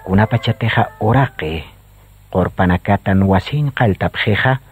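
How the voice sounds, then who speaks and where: A man's voice: lowish voice, measured pace, no room sound. A man reading scripted narration for a radio drama. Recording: dull, husky-sounding recording, faint music. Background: faint music.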